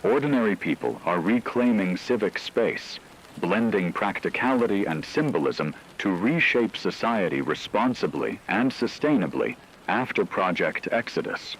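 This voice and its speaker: A man reading scripted radio news as an anchor, with a formal, measured delivery. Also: on the low side, lively intonation, slight background noise, rough recording.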